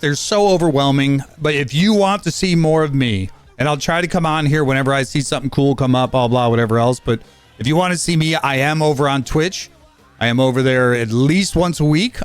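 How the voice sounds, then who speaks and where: A man in his fifties giving a rambling, casual update on his gaming channel, talking fast with frequent fillers and restarts. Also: fairly high pitch, clean tone. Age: 50s.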